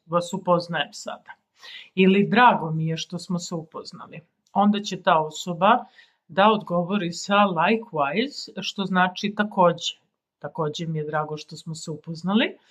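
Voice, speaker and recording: A woman in her forties from Serbia with a Serbian accent, a native Serbian speaker giving an informal language lesson in a halting, deliberate way. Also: on the low side. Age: 40s. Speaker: language teacher. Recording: dull lo-fi sound.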